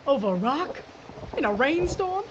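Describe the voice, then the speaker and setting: A woman's voice: high-pitched, very animated, unhurried. A woman playing a tough woman sheriff on the run, with a blunt frontier drawl and barked orders. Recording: slightly dull, faintly noisy recording.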